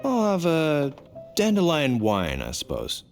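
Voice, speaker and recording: Flustered, animated polite knight. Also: male voice, on the high side, a little slow, music in the background, heavily acted. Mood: sheepish. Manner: stammering, formal, hesitant. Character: flustered, dutiful knight, polite and easily embarrassed. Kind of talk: video game voice acting.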